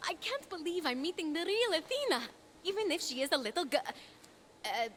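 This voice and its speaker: A woman playing an eager, plucky young mortal hero in a video game, excitable and earnest, with music behind her. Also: very high voice, fairly quick, a little hiss or hum, exaggerated voice. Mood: starstruck. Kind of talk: animated video game voice acting.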